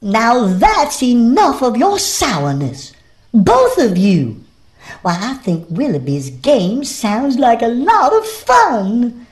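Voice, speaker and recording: A woman's voice: a little high, huge pitch swings, slow, a little mellow, full character voice. A woman voicing comic storybook characters in audio theater, with emphatic delivery. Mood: challenging, stern. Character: schoolmarm and eager young boy in a storybook comedy.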